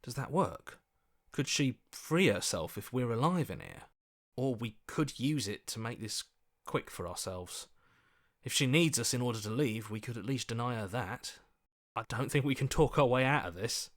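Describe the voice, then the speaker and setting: A man acts out an earnest video game hero with a medium-pitched voice. He is anxious and questioning, pressing his points with plain-spoken urgency. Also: animated, moderate pace, fairly bright tone, lightly acted. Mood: worried, urgent. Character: earnest, anxious heroic inner voice, adult man. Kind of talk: video game character acting.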